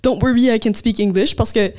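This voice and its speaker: A woman's voice: a little high, steady tone, brisk, warm tone. A young woman from Moncton with a Chiac Acadian accent, chatting casually as a podcast co-host and slipping English words into her French. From Moncton, New Brunswick. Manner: mixes English words into French, many fillers. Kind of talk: casual chat.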